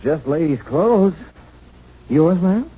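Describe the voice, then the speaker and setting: Folksy American policeman, stammering, awkward, kindly, lively intonation, muffled hissy seventies radio drama. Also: fairly high pitch, slow pace. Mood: awkward, hesitant. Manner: stammering, hesitant, folksy, colloquial. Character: awkward, kindly, unschooled policeman, easily flustered. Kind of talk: 1970s radio drama acting.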